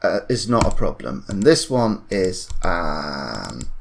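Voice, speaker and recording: A man giving an informal software tutorial, thinking aloud and correcting himself in a hesitant way. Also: sits low, big pitch swings, slow, gravelly, a little hiss or hum. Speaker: tutorial presenter.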